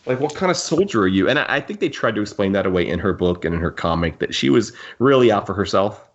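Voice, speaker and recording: A man's voice: fairly low pitch, lively intonation, fast. A man hosting a casual podcast discussion in a wry, jokey, rambling way. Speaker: podcast host. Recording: dull, lo-fi audio.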